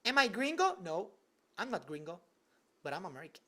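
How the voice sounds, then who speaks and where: A man's voice: high voice, very animated, fairly quick, sharp tone. A young man chats loosely on a livestream from his bedroom. He jokes around, answers viewers on the fly, and leans on "like" constantly. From Venezuela. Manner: loose and joking, heavy use of "like". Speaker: YouTuber answering live chat. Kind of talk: casual livestream Q&A. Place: home bedroom at his desk.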